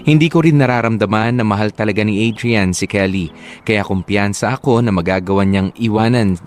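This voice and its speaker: A man's voice: lowish voice, brisk. A man with a Filipino accent narrating a love letter as a dramatic radio-style story. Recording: soft music behind the voice.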